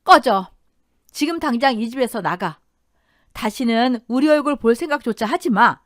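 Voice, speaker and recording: A woman's voice: a little high, big pitch swings, unhurried, dry recording, studio quality. A woman voicing a fierce, vengeful older wife, biting and emphatic, in an audio drama. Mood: furious.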